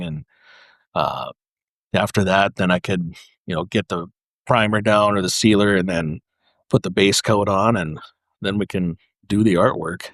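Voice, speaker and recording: A man speaking as a podcast guest, explaining his craft casually in halting, piecemeal phrases with frequent pauses. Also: low-pitched, a bit bright, dry recording, clean studio sound. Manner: halting, pausing, piecemeal delivery. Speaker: podcast guest, custom paint artist.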